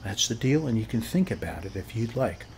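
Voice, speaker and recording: A man's voice: on the low side, sing-song, brisk, creaky, darkish tone, slight background noise. An American man performing an ASMR roleplay as a chatty, boastful suburban neighbor, rambling in a folksy way and often checking in with the listener.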